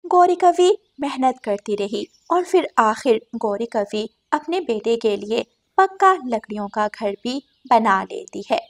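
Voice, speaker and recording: A woman's voice: a little high, sing-song, unhurried, creaky, hardly any pauses. A woman narrating a children's story.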